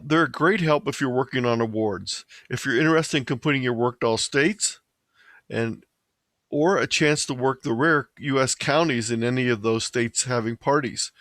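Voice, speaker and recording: A man narrating a scripted slideshow presentation in a measured, slightly halting way, stumbling over the odd word. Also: mid-range voice, fairly bright tone, dry recording. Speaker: presenter of an amateur radio slideshow.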